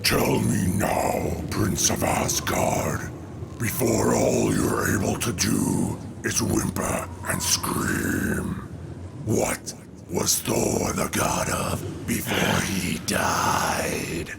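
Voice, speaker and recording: A man voicing a menacing, contemptuous butcher of gods in a comic book drama, with a whispery rasp and a taunting delivery. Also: low-pitched, sing-song, very slow, very noisy, voice pushed down, full character voice. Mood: taunting, sinister. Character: sinister male god-killing butcher, menacing and contemptuous. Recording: dramatic music behind the voice.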